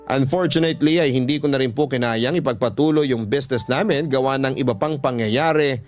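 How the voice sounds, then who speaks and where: A man with a Filipino accent reading a first-person story as scripted dramatic radio narration. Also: medium pitch. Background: background music.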